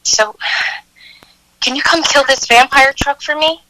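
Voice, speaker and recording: A woman's voice: high voice, unhurried, sharp tone, a little hiss or hum, rough recording. A woman playing an anxious, needy caller asking for help in a comedy phone sketch. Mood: puzzled, let down.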